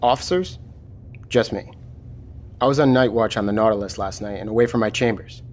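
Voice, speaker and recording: A man playing a steady, matter-of-fact military lieutenant taking charge after a disaster in an audio drama. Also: lowish voice, brisk, slight background noise, natural voice. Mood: measured, resolute.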